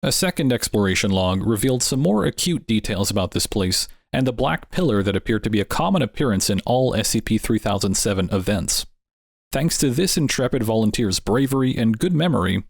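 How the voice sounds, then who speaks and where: Low-voiced man narrating calmly. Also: gravelly, no room sound, clean studio sound. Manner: measured, explanatory. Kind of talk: scripted narration. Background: music.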